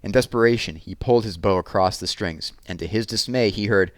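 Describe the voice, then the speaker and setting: A man's voice: lowish voice, fairly quick, dry recording, studio quality. A man narrating a children's picture book as a read-aloud. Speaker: storybook reader.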